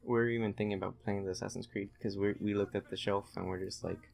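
A man's voice: fairly low pitch, fairly even intonation, fast talker, gravelly, a little mellow. A man giving casual, laid-back, joking gameplay commentary to a friend, thinking aloud as he plays. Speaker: let's play host, playing the game.

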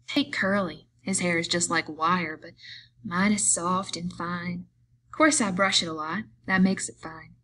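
A woman's voice: on the low side, steady tone, unhurried, sharp tone, slight background noise. Woman acting a vain, chatty young farm wife. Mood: complacent. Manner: complacent, casual folksy talk. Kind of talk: acted audiobook dialogue.

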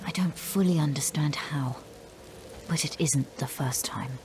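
An actress playing a serious, composed wandering heroine in a video game, speaking in a measured, matter-of-fact way. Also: female voice, low-pitched, noisy background. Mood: pensive. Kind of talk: video game dialogue acting.

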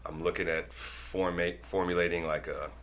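A man's voice: low voice, flat delivery, moderate pace, a bit bright, slight background noise. A man in his forties from Denver, a guest DJ telling stories in casual podcast chat, laid-back and laughing easily. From Denver, Colorado, United States. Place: small studio room crowded with posters. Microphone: desk microphone on a stand.

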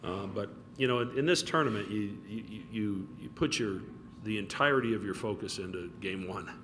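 A man in his fifties, a college basketball head coach, answering press questions in a relaxed, rambling way with many fillers. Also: lowish voice, normal speed. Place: press conference room with backdrop. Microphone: table mic. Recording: noticeable room noise.

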